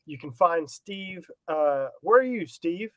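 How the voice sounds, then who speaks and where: A man with a high voice and a head cold hosts a casual talk show. He sounds laid-back and loose, and the recording is dry and close. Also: expressive, slow pace, no room sound. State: has a cold. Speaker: podcast host. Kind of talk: casual chat.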